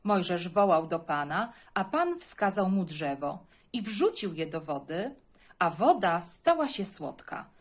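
Husky, expressive woman reading scripture. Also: lowish voice, measured pace. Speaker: children's Bible reader. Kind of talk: scripture reading and introduction for children.

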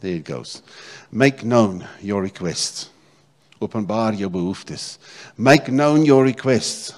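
Low-voiced South African pastor preaching. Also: male voice, lively intonation, slow. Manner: repeats phrases, checks in with congregation. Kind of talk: sermon. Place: church stage, indoors. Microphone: handheld microphone.

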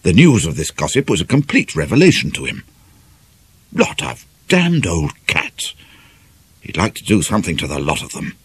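Expressive British male narrator, slightly husky. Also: deep voice, normal speed. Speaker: audiobook narrator. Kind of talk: dramatic scripted novel narration.

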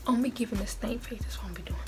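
A woman's voice: a little high, very fast, music in the background. A young woman vlogging from her bedroom, chatting casually and bluntly teasing strangers on video calls. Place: small bedroom. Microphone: phone camera at arm's length.

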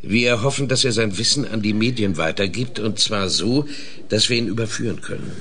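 A man's voice: fairly low pitch, a little fast, a bit bright. A man playing a methodical investigator in a German radio play, hesitant at first, then explaining pedantically. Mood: businesslike. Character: methodical male investigator, specialist in traps.

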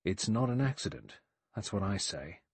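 A man's voice: lowish voice, animated, normal speed, breathy edge, no echo. A man voicing a blunt, suspicious former police inspector, dry and emphatic, in an audiobook.

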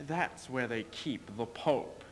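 A young man playing an aging, embattled English king in a theatrical stage reading, bitter and mocking, with deliberate, declamatory speech. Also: mid-range voice, natural voice. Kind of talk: theatrical readers theater duo performance. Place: stage in front of curtain. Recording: noisy amateur stage video sound.

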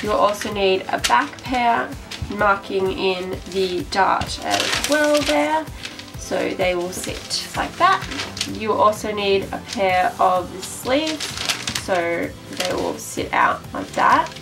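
A young woman talks casually through a sewing project, her voice a little nasal from a cold. She sits a bit back from the mic, and clear music plays under her. She fills pauses with "um" as she goes. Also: medium pitch, expressive, recorded from a distance. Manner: chatty, with frequent "um" fillers. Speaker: sewing tutorial host.

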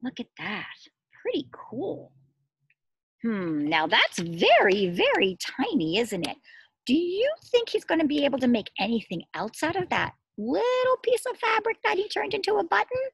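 A middle-aged woman reading a picture book aloud to young children as their teacher, expressive, asking questions and pausing for answers. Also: high-pitched, vocal fry, no room sound. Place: outdoors among trees and shrubs.

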